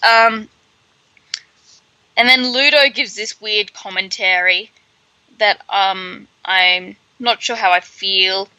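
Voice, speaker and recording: A woman co-hosting a podcast, chatting casually through a book with animated, sardonic asides. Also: mid-range voice, slow, pauses often, no room sound, low-quality recording. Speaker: podcast co-host. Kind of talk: casual book read-along chat.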